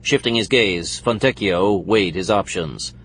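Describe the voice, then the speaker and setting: A man's voice: deep voice, unhurried, background noise. A man reading scripted narration for an action thriller audiobook.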